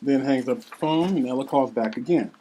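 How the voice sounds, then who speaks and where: A woman's voice: extremely low pitch, fairly quick, warm tone, slight background noise, rough recording. A woman reading scripted scene narration and stage directions at a table read.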